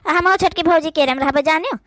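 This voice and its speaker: A woman's voice: sits high, a little fast, a bit bright, no echo. A woman with an Awadhi accent acting out a rural family drama for a cartoon in colloquial, complaining village speech. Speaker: cartoon voice performer.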